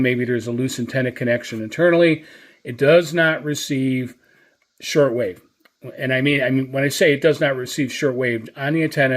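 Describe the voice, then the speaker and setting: A man giving a casual, unscripted product review as a channel host, speaking hesitantly and often circling back over his points. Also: medium pitch.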